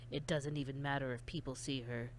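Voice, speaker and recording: Woman putting on a hushed, gloating, cold-blooded killer man. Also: rumbling low, fairly even intonation, fairly quick, a little hiss or hum, voice pushed down. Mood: cold, sinister. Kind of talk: visual novel read aloud.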